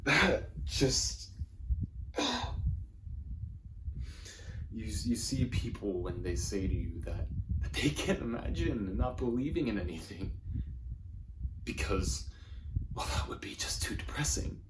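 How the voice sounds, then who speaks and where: A man performing a numb, bitter, grief-stricken father in a halting, subdued contemporary stage monologue. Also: expressive. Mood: bitter, grieving. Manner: halting, subdued, low-key naturalistic delivery. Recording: noisy amateur room recording.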